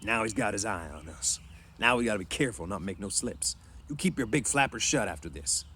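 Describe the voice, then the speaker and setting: Man acting rough, quick-tempered ranch hand, swooping pitch. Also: lively intonation, bright, forceful tone, a little hiss or hum. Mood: scolding, irritated. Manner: rough, slangy, scolding. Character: wiry, quick-tempered male ranch hand, bossy and defensive. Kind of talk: storybook read-aloud.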